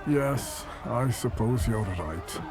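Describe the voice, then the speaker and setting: Worried man, lively pitch. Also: takes it slowly, music in the background, a crowd in the background. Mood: weary, grim. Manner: urgent, cautioning. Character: worried, weary, kindly man of a troubled neighborhood. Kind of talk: dramatic video game acting.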